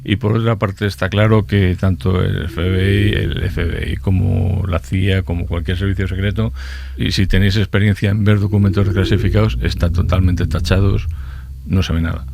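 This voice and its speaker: A man from giving unscripted expert commentary in an interview, halting and repeating words. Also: sits low, steady tone, creaky, darkish tone, slight background noise.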